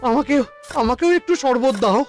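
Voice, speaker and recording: Shrill, whining cartoon minister put on by an actor. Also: fairly quick, faint music behind, close to an everyday voice. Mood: pleading. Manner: whining, pleading. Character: whining, shifty, cowardly male royal minister. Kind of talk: cartoon voice acting.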